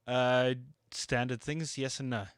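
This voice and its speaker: A man's voice: medium pitch, flat delivery, a little slow, smooth, dry recording, clean studio sound. A man co-hosting a sports talk show, laid-back and easily amused. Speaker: co-host and producer. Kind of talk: casual radio chat.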